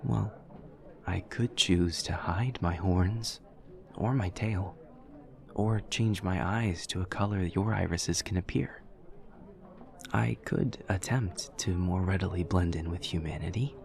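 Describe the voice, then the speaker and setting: A very deep-voiced, awkward demon student played by a man speaks with a creaky rasp at the bottom of his range. He is formal and literal, choosing exact words in a soft, measured audio-roleplay delivery, earnest and utterly polite. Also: full character voice. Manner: stiff, precise, literal, measured formal diction. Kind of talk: audio roleplay, scripted monologue to a listener.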